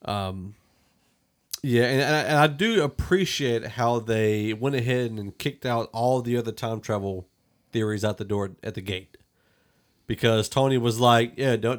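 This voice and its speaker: A man's voice: medium pitch, normal speed. A man hosting a casual movie review podcast, talking in an opinionated, conversational way. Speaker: podcast host.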